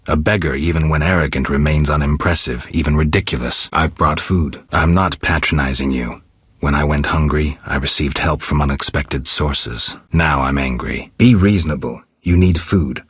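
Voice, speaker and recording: World-weary game monster hunter, super deep n gravelly, dry flat short lines, close dry sound. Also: male voice, no room sound, heavily acted. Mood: firm. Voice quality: gravelly, husky low voice. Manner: terse, deadpan, dry. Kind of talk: video game dialogue lines.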